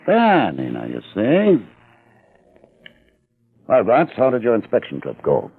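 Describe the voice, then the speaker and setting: An actor playing a cold, commanding ringleader in an old radio crime drama, speaking in a clipped, sly and domineering way. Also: male voice, fairly low pitch, huge pitch swings, takes it slowly, vocal fry, husky, full character voice. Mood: menacing. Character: commanding middle-aged male ringleader, cold and menacing. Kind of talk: 1940s radio acting. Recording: tinny old radio broadcast.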